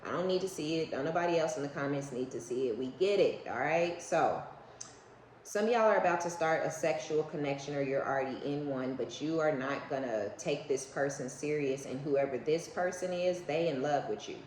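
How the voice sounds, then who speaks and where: A woman's voice: low voice, a little fast, a little mellow. An American woman giving a casual, unscripted tarot reading to viewers in a blunt, sassy, slangy way, swearing freely and often checking in with short tag questions. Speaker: tarot reader, online host. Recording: echoey room with faint background noise.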